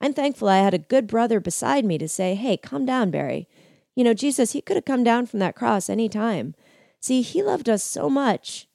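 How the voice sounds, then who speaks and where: A man's voice: extremely high pitch, sing-song, normal speed, vocal fry, darkish tone, no echo, clean studio sound, pitched above the performer's own voice, exaggerated voice. A woman voicing a small, fiery older male biker preacher with a Southern accent and an emotional, drawling preaching manner. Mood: tearful.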